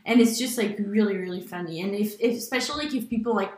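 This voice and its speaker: Woman, mid pitch, rambling casual chat, laughs a lot, echoey room. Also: fast talker, darkish tone. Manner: rambling, heavy use of "like", laughs often. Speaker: podcast co-host.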